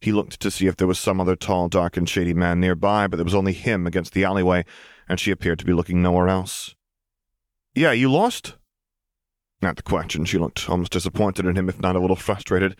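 Low-voiced man reading a story. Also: little pitch movement, quick pace, dry recording, clean studio sound. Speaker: audiobook reader. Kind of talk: scripted story narration.

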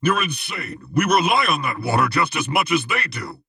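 A man voicing a gruff, alarmed crime baron in an audiobook, with a gravelly, raspy voice. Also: fairly high pitch, very animated, moderate pace, pushed and bright, no echo, voice pushed up, exaggerated voice.

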